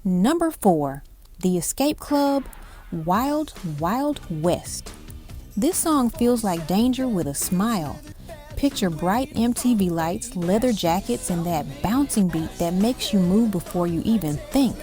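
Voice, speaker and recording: A woman's voice: mid-range voice, animated, takes it slowly, mellow. A woman reading scripted documentary-style narration for a music countdown, in dramatic, punchy short phrases. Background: music behind the voice.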